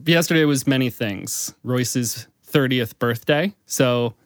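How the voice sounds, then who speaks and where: A young man hosting a casual podcast with friends, quick and joking, rambling from one thing to the next in a small room. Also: medium pitch, dry recording, studio quality. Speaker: podcast host.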